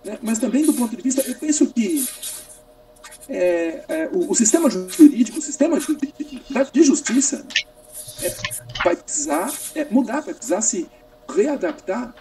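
High-pitched Brazilian man, halting and searching. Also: sing-song, mellow, faint music behind. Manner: halting, searching for words, repetitive. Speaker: academic discussant. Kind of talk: extended philosophical question in online panel. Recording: distant mic, slightly noisy video call.

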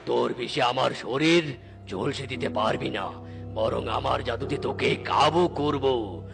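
A man voicing a boastful, scheming male cloud demon in a cartoon story, menacing and booming. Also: vocal fry. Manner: slow, menacing, booming villain delivery. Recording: loud background music.

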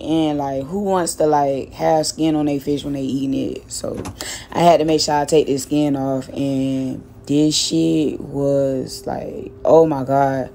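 A woman's voice: low-pitched, a little mellow, slight background noise. A young woman from Miami chatting casually and slangily while she prepares fish in her home kitchen. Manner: chatty, slangy, repeats words, talks while cooking. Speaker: cooking vlogger. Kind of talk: casual cooking vlog chat.

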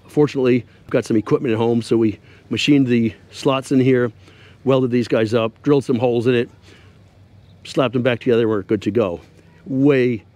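A man's voice: mid-range voice, a little mellow. Folksy older man explaining machinery. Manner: folksy, plainspoken, wry asides. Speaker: host showing his restored sawmill. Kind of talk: casual show-and-tell explanation. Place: outdoors under an open sawmill shed. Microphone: lapel mic.

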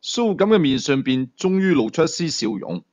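A man's voice: on the high side, very animated, normal speed, darkish tone. A man reading a thriller story as dramatic scripted narration. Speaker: audiobook storyteller.

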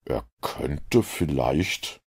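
A man voicing a self-assured, analytical detective in an audiobook, with a gravelly, husky voice and a measured, lecturing manner. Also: rumbling low, lively intonation, exaggerated voice. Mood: smug, assured. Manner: measured, didactic, superior. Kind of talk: audiobook character reading.

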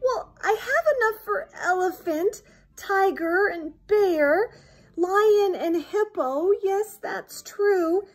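A woman's voice: very high voice, takes it slowly, pitched above the performer's own voice, low-quality recording. A woman voicing an exasperated but hospitable young boy in a storybook, with dramatic dismay. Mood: resigned welcoming.

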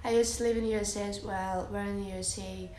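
A young woman from Russia with a Russian accent, chatting slowly and softly on a phone livestream from her bedroom and answering viewers' comments with lots of "like" and "uh". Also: mid-range voice, steady tone, echoey room, slight background noise. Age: young adult. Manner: soft and giggly, many fillers like "like" and "uh". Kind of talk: casual livestream chat, reading out comments. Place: bedroom, indoors. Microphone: phone held close, lying on a bed.

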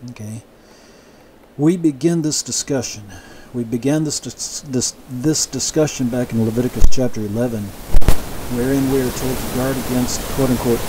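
Man teaching scripture over a steady hiss. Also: mid-range voice, rough recording. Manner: measured, explanatory, with frequent restarts and asides. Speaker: Bible teacher. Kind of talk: sermon-style Bible lecture.

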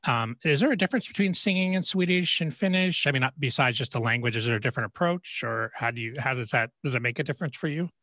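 Bright-voiced middle-aged male podcast host. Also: medium pitch, quick pace, few pauses, dry recording. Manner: chatty, rambling questions, restarts. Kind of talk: casual interview. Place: home studio, indoors. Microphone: studio microphone, video call.

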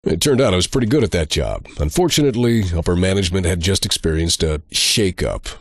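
An actor playing a sardonic, amoral slacker antihero in a video game, husky and deadpan. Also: male voice, deep voice, fast talker, no echo. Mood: deadpan sarcasm. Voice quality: husky, gravelly. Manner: deadpan, sarcastic, dry. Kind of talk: game cutscene narration.

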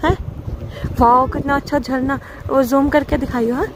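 A young woman with a high voice teases her husband in easy, casual chat. The talk is recorded outdoors by a roadside, and open-air and traffic noise can be heard clearly behind her. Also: steady tone, normal speed. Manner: teasing, playful. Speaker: vlogger's wife.

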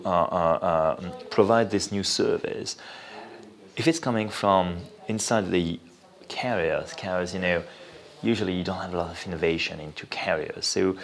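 A man with a French accent giving explanatory interview answers, halting as he thinks aloud and often saying "you know". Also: deep voice, gravelly. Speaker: interview guest, venture capital investor. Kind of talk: spoken interview, explanatory talk.

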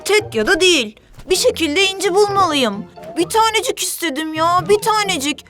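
A woman voicing a stubborn, selfish and bossy young sea creature in a children's cartoon, defiant and quick to argue. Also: very high-pitched, lively intonation, music in the background. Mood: stubborn, resentful. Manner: defiant, argumentative, quick to take offense.

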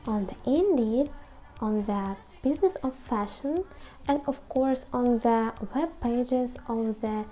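A woman's voice: fairly high pitch, warm tone. A woman in her twenties hosting a video, giving scripted advice to camera in non-native English phrasing. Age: 20s. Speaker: YouTube host. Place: small quiet room at home.

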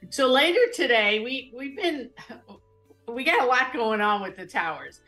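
A woman's voice: a little high, expressive, normal speed, bright, forceful tone, soft background music. Chatty woman hosting a kitchen show. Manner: chatty, explains as she goes. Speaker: cooking show host. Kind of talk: casual live cooking demo chat. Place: home kitchen, indoors.

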